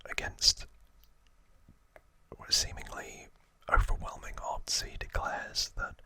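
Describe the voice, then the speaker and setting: A man whispering as an ASMR host, telling trivia in a hushed, halting storytelling manner. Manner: hushed, slow, halting delivery.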